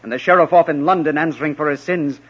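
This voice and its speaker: An actor playing a bold, confident outlaw hero with hearty declamation in 1950s radio drama style. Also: male voice, a little high, fast, fairly bright tone, husky. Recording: dull old radio recording.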